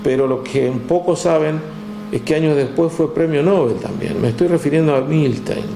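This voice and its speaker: A man with an Argentine accent, telling history in a relaxed chat, with many "este" fillers, reading out figures from a text. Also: on the high side, moderate pace, vocal fry, echoey room, background noise. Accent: Argentine Spanish. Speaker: guest storyteller. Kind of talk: conversational history talk.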